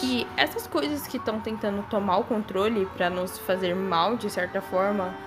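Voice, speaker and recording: A young woman with a Brazilian accent giving casual, speculative commentary on a horror series in an informal, conversational way. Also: a little high. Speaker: video creator. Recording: voice-over with music behind it. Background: background music.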